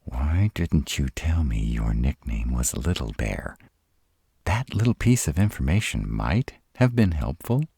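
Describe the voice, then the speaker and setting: A man's voice: a bass voice, big pitch swings, a little slow, gravelly, dark tone, slightly rough, dry recording, clean studio sound, full character voice. A man playing a nervous, sarcastic male vampire in a roleplay audio, stammering and hesitant, easily exasperated.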